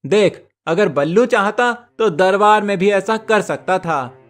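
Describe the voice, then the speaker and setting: A man's voice: sits high, lively intonation, slow pace, faint music behind, voice pushed up. A man voicing a suspicious, sceptical villager in a cartoon story, doubting and agitated.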